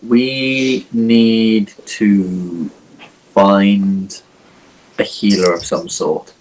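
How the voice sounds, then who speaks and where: A man's voice: fairly low pitch, steady tone, extremely slow, darkish tone, slight background noise, rough recording. A man playing in a tabletop game, chatting casually and often stammering and repeating words. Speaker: player.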